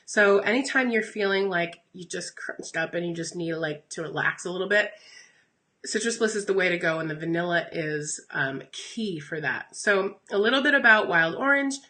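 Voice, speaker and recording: A woman in her thirties talking casually on a live stream, her voice a little raspy and congested from a recent illness, addressing her viewers directly. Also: lowish voice, lively intonation, moderate pace, pushed and bright. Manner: chatty and upbeat, addresses viewers directly with "you guys" and "okay?". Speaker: essential oils educator streaming live. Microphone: phone or webcam for live stream.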